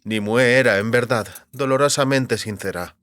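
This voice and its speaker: A man reading scripted literary narration for an audiobook with measured, deliberate phrasing. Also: medium pitch, fairly bright tone, no echo. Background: faint music.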